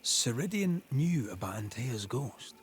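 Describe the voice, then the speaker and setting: A man's voice: mid-range voice, sing-song, unhurried, slight background noise. A man playing a serious, wary ghost hunter in a video game, probing and direct. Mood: wary, questioning. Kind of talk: video game cutscene acting.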